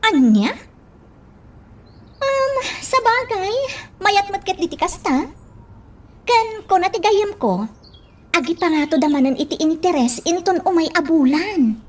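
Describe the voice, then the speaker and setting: A woman's voice: extremely high pitch, lively intonation, measured pace, pauses often, darkish tone. A woman playing a chatty young woman in an Ilocano radio romance drama. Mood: confiding.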